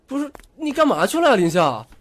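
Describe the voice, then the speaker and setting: A man plays a brash young buddy in an audio drama. He is blunt and exclamatory yet caring, with widely swinging pitch and faint noise behind him. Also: sing-song, fast, fairly bright tone, heavily acted. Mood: alarmed. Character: brash, foul-mouthed but caring young male friend. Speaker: voice actor. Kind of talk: Chinese audio drama acting.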